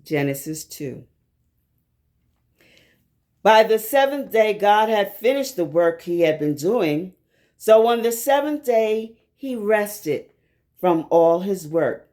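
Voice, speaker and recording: A woman reading scripture aloud from a text in a measured, deliberate manner, pausing between short phrases. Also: medium pitch, expressive, warm tone. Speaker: scripture reader.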